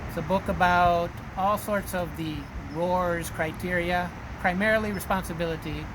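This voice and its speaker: A man's voice: high-pitched, steady tone, normal speed, noisy background. A man from a school giving a children's storybook read-aloud to students. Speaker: school staff reading to students.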